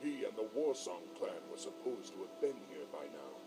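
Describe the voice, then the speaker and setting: An actor playing a stern young orc war chief with a gravelly voice and a commanding way of talking. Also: male voice, lowish voice, sing-song, moderate pace, far from the mic, music in the background. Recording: loud hiss on captured game audio.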